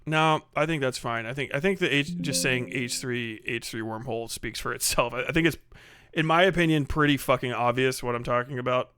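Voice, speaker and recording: A man's voice: medium pitch, brisk, sharp tone, clean tone. A man streaming live, chatting casually and swearing often, muttering half to himself as he works on something. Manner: profane, muttering to himself while working. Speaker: livestreamer.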